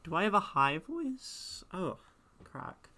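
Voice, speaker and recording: A woman's voice: on the low side, sing-song, takes it slowly, sharp tone. A woman streamer chatting casually, reading and answering her chat in a thoughtful, rambling way with frequent restarts. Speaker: streamer and poetry critic.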